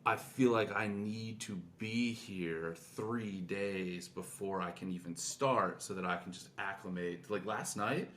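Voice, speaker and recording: A man's voice: low-pitched, a little slow, hardly any pauses, fairly bright tone, slight background noise. A middle-aged man co-hosting a podcast in casual, conversational style. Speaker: podcast co-host.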